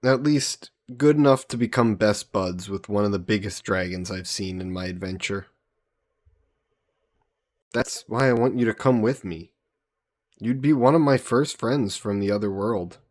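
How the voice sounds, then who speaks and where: A man voicing an easygoing, teasing young adventurer hero in an intimate fantasy roleplay audio drama, casual and gently coaxing. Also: lowish voice, a little slow, natural voice. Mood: fond, reminiscing. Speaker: voice actor.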